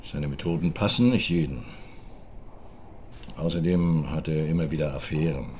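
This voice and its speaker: A middle-aged male diplomat with a deep voice. He is formal, courteous and guarded, choosing words with care as in a crime radio drama. There is faint background noise. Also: moderate pace, a little mellow. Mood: defensive, formal. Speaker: actor.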